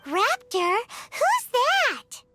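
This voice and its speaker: A woman voicing a tiny, childlike fairy companion in a video game, squeaky, cheeky and excitable. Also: extremely slow, soft background music, exaggerated voice. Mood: puzzled. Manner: squeaky, childlike, refers to self in third person. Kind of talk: cartoonish video game voice acting.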